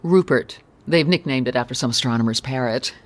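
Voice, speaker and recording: An actress playing a self-assured celebrity astrologer, composed, patient and knowing, in a radio comedy. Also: female voice, very deep voice, fairly quick. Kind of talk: radio comedy drama acting.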